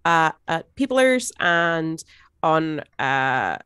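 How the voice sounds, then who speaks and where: A woman's voice: deep voice, big pitch swings, very slow, a bit bright, smooth, dry recording. A Scottish woman, a streamer, making dry, matter-of-fact jokes in casual chat on a home mic. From Scotland. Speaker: streamer and VTuber.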